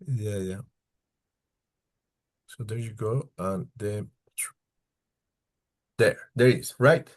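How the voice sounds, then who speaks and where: A man from Ecuador with an Ecuadorian Spanish accent, giving an informal online slide talk in halting non-native English as a tour leader. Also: on the low side. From far south Ecuador. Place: indoors on a video call.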